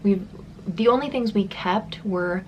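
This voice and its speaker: A woman's voice: medium pitch, measured pace, muffled recording, a little hiss or hum. A young woman vlogging at home as she gets ready, talking in a loose, rambling way with lots of "like" and "um", her voice stuffy and congested. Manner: casual and rambling, many likes and ums. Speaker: beauty vlogger. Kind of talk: chatty get-ready-with-me vlog.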